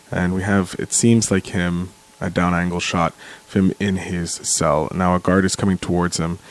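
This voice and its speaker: A man giving off-the-cuff film commentary, describing what he watches step by step in a halting way. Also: low voice. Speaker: commentary contributor.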